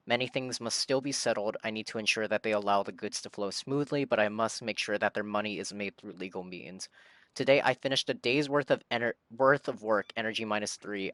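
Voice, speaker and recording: A man's voice: on the low side, a bit bright, dry recording. A man live-streaming a video game, giving casual reactions and reading game text aloud. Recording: game music behind the voice.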